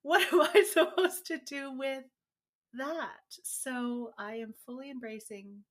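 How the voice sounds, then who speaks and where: A woman's voice: high-pitched, animated, takes it slowly. A woman guest who grew up in the United States, reflecting candidly and sometimes animatedly in a casual interview. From United States, born in Taiwan. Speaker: guest author.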